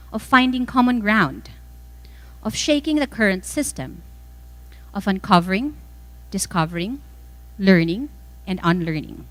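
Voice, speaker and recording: A woman with a Filipino accent reading a formal scripted speech as a guest speaker, measured and emphatic phrase by phrase. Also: a little high, poor audio. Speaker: guest speaker, former mayor.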